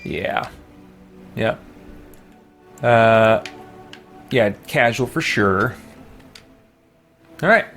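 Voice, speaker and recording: A man's voice: on the low side, animated, drawn-out words, gravelly, long pauses, fairly bright tone, soft background music. A man hosting a let's-play stream, chatting informally and thinking aloud. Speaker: gaming streamer, host. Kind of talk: casual let's-play chat.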